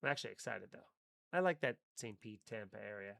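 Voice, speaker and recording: A man's voice: fairly low pitch, lively intonation, fast, vocal fry, fairly bright tone, no room sound. Profane rambling man, dry sound. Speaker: comedian hosting a live stream. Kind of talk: casual live-stream chat reading chat comments.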